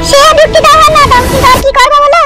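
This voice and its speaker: Anxious cartoon village wife, super high squeaky pitch, quick talker. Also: female voice, steady tone. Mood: eager, obliging. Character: shrill village housewife, anxious and obedient to her husband. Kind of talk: comic cartoon dubbing. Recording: loud music behind the voice.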